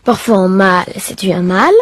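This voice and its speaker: A woman's voice: medium pitch, fairly quick, heavy background noise. A woman reading scripted narration for a children's educational animation. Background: music.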